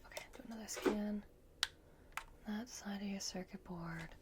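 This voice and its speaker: A woman whispering in a breathy, gentle and reassuring murmur as she performs a soft-spoken ASMR roleplay. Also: mid-range voice, fairly even intonation, moderate pace, heavy background noise.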